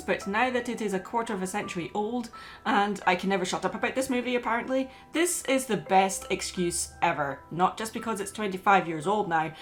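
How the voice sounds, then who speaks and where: A woman host reading a scripted video essay in an opinionated, emphatic way. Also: mid-range voice. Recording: music playing behind the voice.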